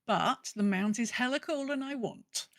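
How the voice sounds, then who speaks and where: A woman's voice: on the high side, moderate pace. A woman co-hosting a podcast, chatting casually and reading out listener messages in a conversational way with muttered asides. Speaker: podcast co-host.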